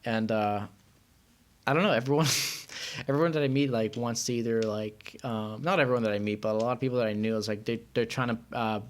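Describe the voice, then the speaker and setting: Guy from iran just chatting, rambly little stories, pokes fun at himself, mid pitched voice. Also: fairly even intonation, brisk. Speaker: podcast guest. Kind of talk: casual chat, anecdotes.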